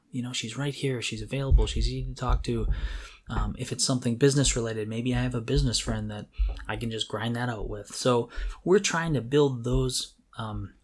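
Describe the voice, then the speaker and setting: A middle-aged man co-hosting a podcast, talking casually and reflectively as he thinks aloud. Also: mid-range voice. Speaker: podcast co-host. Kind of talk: casual conversational talk.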